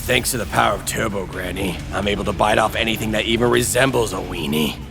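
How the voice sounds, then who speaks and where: Low, mopey emo teenage boy played by a man. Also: fast talker, gravelly. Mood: smug gloom. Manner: deadpan, mopey. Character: gloomy transformed emo teenage boy, cocky yet dead inside. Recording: music behind the voice.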